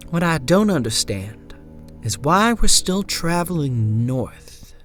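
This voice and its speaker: Male narrator doing a devout cult woman, earnest, insistent, lifted pitch, horror audio story, light music behind. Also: very animated, slow pace, fairly bright tone, heavily acted. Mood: guarded. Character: devout, hungry female cult follower, chaos-worshipping zealot.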